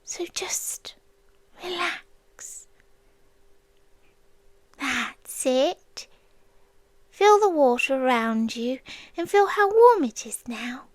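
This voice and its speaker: A British woman playing a possessive, obsessive female demon in an ASMR roleplay, soft and breathy, often whispering, coaxing with tender menace. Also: high voice, expressive, no echo, full character voice. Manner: slow, coaxing, sweetly menacing with long pauses.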